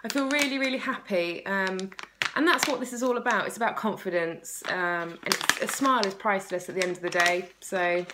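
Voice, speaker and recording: A woman's voice: medium pitch, a little fast, very noisy. A woman in her thirties chatting casually and enthusiastically to camera, recorded on a phone in a room at home. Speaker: vlogger. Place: small room at home by a window, indoors. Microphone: phone at arm's length.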